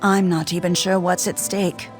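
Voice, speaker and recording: Woman acting low, weary, blunt elf. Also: level tone, normal speed, faint music behind, lightly acted. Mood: exasperated, wary. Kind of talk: fantasy video game acting.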